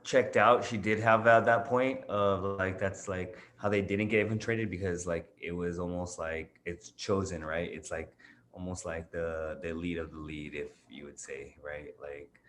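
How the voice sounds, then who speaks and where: A man's voice: low voice, fairly even intonation, unhurried, a bit bright, a little hiss or hum. A man chatting casually in a group discussion in short, slangy phrases. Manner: slangy, terse, checking with "right?".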